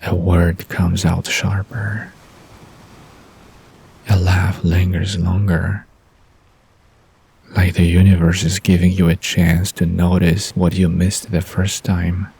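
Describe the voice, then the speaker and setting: Deep, gravelly, slow male storyteller. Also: little pitch movement, a little mellow. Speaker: narrator. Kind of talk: scripted story narration for bedtime audio drama.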